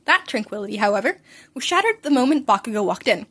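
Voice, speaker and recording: A woman's voice: a little high, sing-song, quick pace, a bit bright, husky. A woman reading scripted fan fiction as narrator, with wry storyteller asides.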